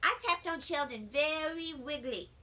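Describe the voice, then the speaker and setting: A woman reading a children's chapter book aloud in the chatty, wide-eyed voice of a first grader. Her tone is high and bright, and she takes her time with every line. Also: a little slow. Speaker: storybook reader.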